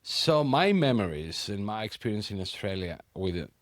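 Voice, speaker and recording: A man with a Greek accent co-hosting a podcast, chatting casually and getting animated, his voice climbing high when worked up. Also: moderate pace, no echo. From Greece. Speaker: podcast co-host. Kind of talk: casual chat.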